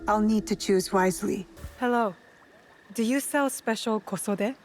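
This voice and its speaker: A woman's voice: mid-range voice, unhurried, music in the background, natural voice. An actress playing a determined young woman working undercover in a video game, polite but direct and probing. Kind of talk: video game cutscene acting.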